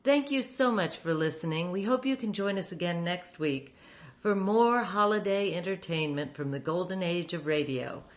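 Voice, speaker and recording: A woman hosting an old-time radio podcast, reading a scripted outro in a measured sign-off manner. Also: lowish voice. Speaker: podcast host.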